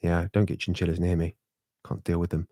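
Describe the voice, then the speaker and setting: Fast-talking man co-hosting a podcast. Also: deep voice, monotone, warm tone, no room sound, clean studio sound. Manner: rambling, quick, restarts often. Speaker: podcast co-host. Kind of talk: casual live podcast chat. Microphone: podcast microphone.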